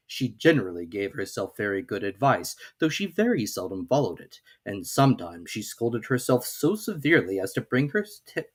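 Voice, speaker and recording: A storybook narrator with a lively, widely moving voice reads a children's classic. The delivery is theatrical and expressive, with an occasional small stumble over a word. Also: mid-range voice, slightly rough.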